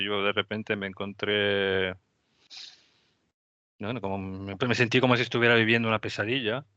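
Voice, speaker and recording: Low-voiced man with an Italian accent. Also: pushed and bright, clean tone, no echo, highs cut off. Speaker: podcast host. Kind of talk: casual podcast conversation.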